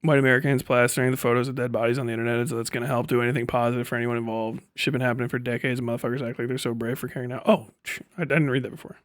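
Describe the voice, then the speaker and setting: A man's voice: mid-range voice, little pitch movement, very fast, hardly any pauses, no room sound. A man giving a casual livestream rant as host, swearing freely in slangy, sarcastic talk and often laughing as he speaks.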